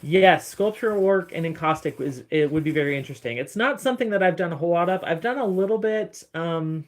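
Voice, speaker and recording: A woman with a deep voice chatting casually on a phone livestream from her studio, rambling a little as she answers viewers' comments. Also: lively intonation, brisk, a little hiss or hum. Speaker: encaustic artist streaming live. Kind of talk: casual livestream chat while working.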